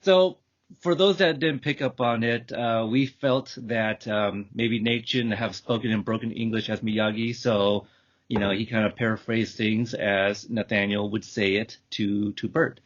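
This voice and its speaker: A man hosting a podcast, talking casually and off the cuff in a halting, rambling way. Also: on the low side, fairly even intonation. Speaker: podcast host. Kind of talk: casual, unscripted show wrap-up. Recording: dull, lo-fi call audio.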